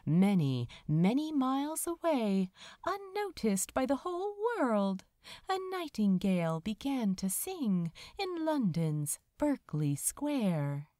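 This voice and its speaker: A woman reading fiction aloud as a dramatic narrator, expressive and measured, with deliberate pauses. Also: medium pitch, no room sound, clean studio sound. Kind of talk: dramatic scripted audiobook narration.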